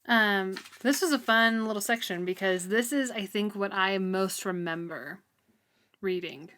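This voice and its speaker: A woman's voice: mid-range voice, measured pace, fairly bright tone, slight background noise. A woman co-hosting a podcast, casually discussing a book in an informal, giggly way. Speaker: podcast co-host.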